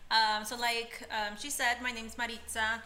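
Young woman guest from peru, lively casual chat, roomy sound. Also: on the high side, moderate pace, a little hiss or hum. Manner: bubbly, laughs often, many fillers.

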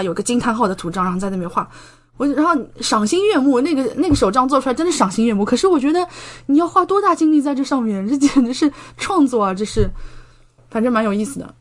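Young woman, slightly high voice, podcast guest, chatty, conversational, illustrative explanations. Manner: chatty, explains with many concrete examples. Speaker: podcast guest, medical PhD student studying in Japan.

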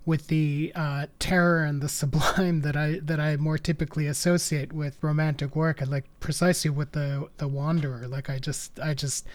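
This interviewer builds a long, discursive question with academic references and dry humor. The voice sits at an ordinary middle pitch. The delivery is wandering but pointed. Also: flat delivery, normal speed, hardly any pauses.

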